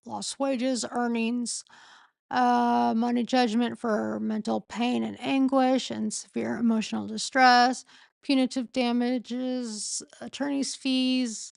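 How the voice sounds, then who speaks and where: A woman with a sore throat and a failing voice, reading a legal filing aloud in a slow, halting way and often stopping to correct herself. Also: fairly high pitch, flat delivery, gravelly, no room sound, clean studio sound. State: losing her voice, sore throat. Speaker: streamer reading a court filing. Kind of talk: casual late-night live stream.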